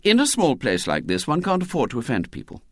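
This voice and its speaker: Man reading a gently firm middle-aged mother, lifted pitch, dry close read. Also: wildly swooping pitch, fast, no echo. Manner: patient, matter-of-fact, gently firm.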